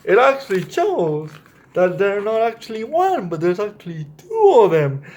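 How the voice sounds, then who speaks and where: Male children's storytime host, higher voice with very lively pitch, speaking straight to kids and checking they follow. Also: moderate pace. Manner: addresses children directly, often checks with "right?". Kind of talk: explaining to children, read-aloud storytelling.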